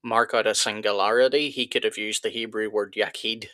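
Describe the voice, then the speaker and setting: A man's voice: lowish voice, fairly even intonation, fairly quick, sharp tone, dry recording. A man hosting a live reaction stream, arguing his points in casual chat with frequent restarts. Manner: argumentative, restarts and repeats words. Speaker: apologetics channel host.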